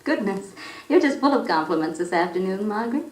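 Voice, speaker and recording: A woman playing a gentle, reflective, affectionate mother in an old-time radio soap, speaking in a tender, musing, coaxing way. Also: medium pitch, lively intonation, fairly quick, natural voice. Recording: roomy vintage 78rpm radio recording.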